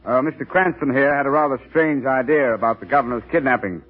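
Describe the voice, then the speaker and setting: An actor playing a flustered, impatient but deferential police commissioner in a 1930s radio drama. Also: male voice, mid-range voice, quick pace, lightly acted. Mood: uneasy. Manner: hesitant, blustering, deferential. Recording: muffled old radio recording with music.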